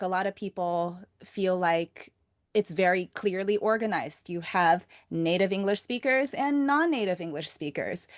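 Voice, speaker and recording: A woman's voice: mid-range voice, no room sound, clean studio sound. A woman hosting a casual conversation, speaking in an earnest and reflective way. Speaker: host.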